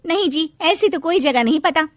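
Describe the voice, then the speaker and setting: A woman voicing a worried but bold village mother in a cartoon story, emphatic and expressive. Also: high voice, normal speed, dry recording, clean studio sound, lightly acted.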